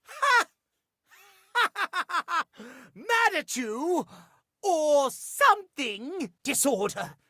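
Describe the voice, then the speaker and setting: A man's voice: extremely high pitch, big pitch swings, extremely slow, pauses often, pushed and bright, dry recording, clean studio sound, full character voice. An actor voicing a fussy, prim male clock obsessed with schedules, speaking formally and precisely in a theatrical, old-fashioned way for a video game. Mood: furious, disdainful. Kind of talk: video game character acting.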